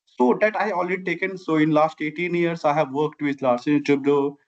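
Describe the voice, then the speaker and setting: A man's voice: a little high, fairly even intonation, a little fast, muffled recording. Earnest Indian man with a Bihari accent. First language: Maithili. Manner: earnest, long winding sentences with repeated "I have". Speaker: guest, civil engineer and founder. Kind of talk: interview answers.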